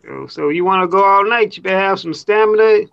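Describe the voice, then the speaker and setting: Gravelly joking man on dull call audio. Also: high-pitched, brisk, fairly bright tone. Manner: joking, laughs between lines. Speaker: guest on the call. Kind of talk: casual chat. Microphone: online call audio.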